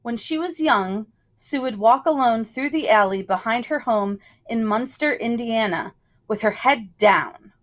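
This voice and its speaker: Slow-paced woman reading a children's story aloud. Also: on the high side, expressive. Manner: measured phrasing with deliberate pauses. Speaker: reader of a children's picture book.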